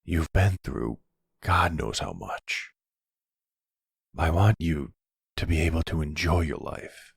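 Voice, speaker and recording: An actor playing a tender, devoted older mafia boss boyfriend in an intimate ASMR roleplay, speaking softly and gently with a husky voice. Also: male voice, rumbling low, dry recording, studio quality, heavily acted. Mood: tender, sincere. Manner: gentle, slow, affectionate.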